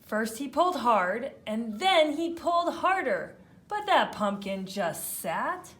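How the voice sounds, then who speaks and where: A woman narrating a children's storybook read-aloud with expressive emphasis. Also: on the high side, slow pace.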